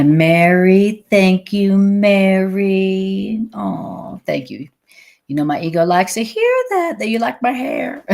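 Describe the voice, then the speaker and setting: Woman, spiritual teacher, loud voice, low-pitched, unhurried, chatty. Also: creaky. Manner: warm, chatty, laughs easily. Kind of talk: live-streamed spiritual class. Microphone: desk microphone.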